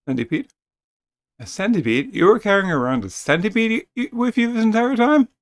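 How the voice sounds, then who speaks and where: A man's voice: high-pitched, lively intonation, a little fast, no room sound, voice pushed up. A man voicing a hesitant, good-natured young male adventurer in a game, easily bewildered. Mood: startled.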